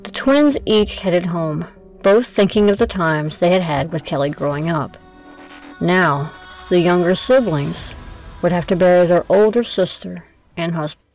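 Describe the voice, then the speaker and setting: A woman's voice: on the low side, unhurried, darkish tone, faint music behind, poor audio. A woman narrating a scripted audio drama as its storyteller.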